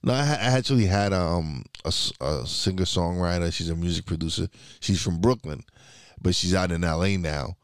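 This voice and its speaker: A man's voice: sits low, normal speed, clear, resonant tone, dry recording, studio quality. A man from New York chatting casually over a remote call, rambling and restarting his sentences. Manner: rambling, many restarts, laid-back. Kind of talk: casual podcast chat.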